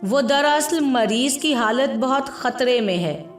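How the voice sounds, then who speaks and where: A timid hospital assistant from a moral tale , played by a woman narrator. He speaks slowly, hesitant and deferential, in a high voice with echo around it. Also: lively intonation. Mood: nervous, apologetic. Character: flustered, timid male hospital assistant, apologetic. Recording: roomy echo with music behind.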